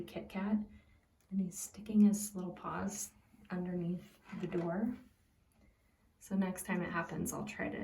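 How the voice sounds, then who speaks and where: Slow-paced woman reading aloud to preschoolers, echoey. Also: fairly low pitch, creaky. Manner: simple, expressive, prompting listeners with questions. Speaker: preschool teacher reading a picture book aloud. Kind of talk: read-aloud storytelling with questions to young children. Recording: echoey room, distant from the mic.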